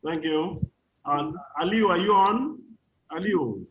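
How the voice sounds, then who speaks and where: Nigerian male moderator, slightly high voice, informal workshop host on a dull-sounding video call. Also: takes it slowly, a bit bright, slight background noise. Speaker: workshop host calling on participants. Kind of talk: informal online meeting moderation. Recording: dull lo-fi online call audio.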